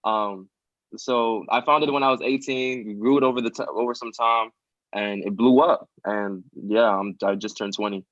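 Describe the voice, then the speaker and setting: A man's voice: lowish voice, steady tone, moderate pace, a bit bright, dry recording. A twenty-year-old man speaking earnestly and from the heart as a podcast guest, often adding "you know" and "um". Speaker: podcast guest, student nonprofit founder. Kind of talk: casual conversational interview. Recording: dry, dull lo-fi sound.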